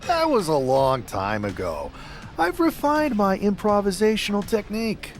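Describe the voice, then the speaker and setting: An actor playing a cocky, stubborn young pro wrestler, confident and wry, in a video game cutscene. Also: male voice, high voice, huge pitch swings, normal speed, faint music behind, full character voice. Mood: wry, defensive.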